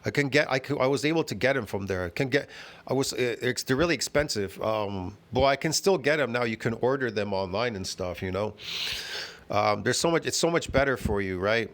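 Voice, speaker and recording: A man's voice: mid-range voice, animated, fairly quick, fairly bright tone. A man hosting a reaction video, talking in a rambling, repetitive and mocking way, often tossing in "get it?" and "bro". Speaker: host reacting to a video clip. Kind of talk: casual rambling commentary.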